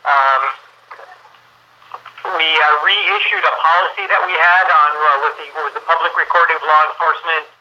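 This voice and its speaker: A man's voice: on the high side, animated, moderate pace, gravelly, sharp tone. A man speaking as a police chief, answering interview questions over the phone in a matter-of-fact, official manner. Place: telephone call. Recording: band-limited telephone line.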